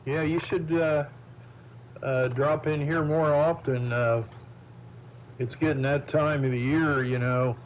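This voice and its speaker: A middle-aged or older man chatting casually as an amateur radio operator, halting and folksy, heard over a radio transmission. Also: on the high side, fairly even intonation. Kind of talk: casual ham radio chat. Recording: narrow-band AM shortwave radio, faint static.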